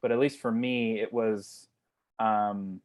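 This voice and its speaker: A man's voice: lowish voice, steady tone, takes it slowly, a little mellow. A man speaking casually as a writer-director in a video call Q&A, rambling informally and often repeating his words. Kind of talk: casual Q&A discussion.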